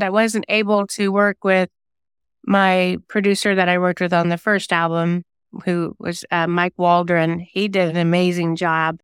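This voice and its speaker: A woman's voice: mid-range voice, fairly bright tone, clear, resonant tone, dry recording, studio quality. A woman who writes songs, chatting casually as an interview guest. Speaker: singer-songwriter guest.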